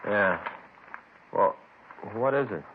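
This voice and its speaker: An American actor playing a courteous, low-key police detective in a 1950s radio drama. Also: male voice, animated, slow pace. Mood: polite, puzzled. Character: plain-spoken, polite male police detective partner. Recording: narrow, hissy vintage 1950s radio recording.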